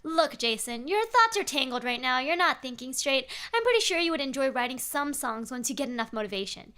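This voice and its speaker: A woman's voice: sits high, sing-song, fairly quick, sharp tone, clean tone, exaggerated voice. A woman voicing a taunting, obsessive stalker fan from a horror story, in a smug, sing-song manner. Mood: teasing, pushy.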